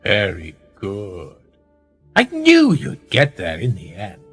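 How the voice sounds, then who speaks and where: An actor playing a powerful, manipulative titled gentleman in a radio play, measured and condescending, with quiet menace. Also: on the low side, wildly swooping pitch, breathy edge, heavily acted. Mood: smugly knowing. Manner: measured, condescending, with rhetorical tag questions. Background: music.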